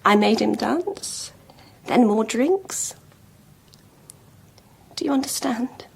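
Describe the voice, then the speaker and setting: An actress playing a proud, lovelorn schoolmistress in a period radio drama, speaking frankly and deliberately with emotional intensity. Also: female voice, a little high, animated, gravelly, a little hiss or hum, exaggerated voice. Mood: confessing. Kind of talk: BBC radio drama acting.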